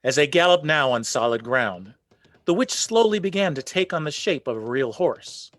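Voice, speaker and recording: A man's voice: a little high, sing-song, normal speed, fairly bright tone, no room sound. A man narrating a folk legend as the storyteller in a scripted radio play. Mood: storytelling.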